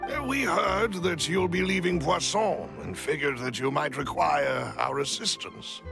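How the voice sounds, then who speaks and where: A man's voice: fairly high pitch, a little slow, gravelly, over music. A man playing a loyal, deferential bodyguard in a video game, formal and courteous in speech. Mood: respectful.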